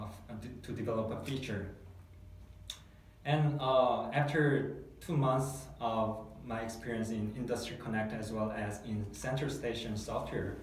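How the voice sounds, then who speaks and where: A Korean man in his thirties, a guest in a testimonial interview, speaking English as a second language and hesitating often, with many fillers and restarts. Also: on the low side. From South Korea. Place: small dark meeting room, seated at a table. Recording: echoey room, mic far off, faint hiss.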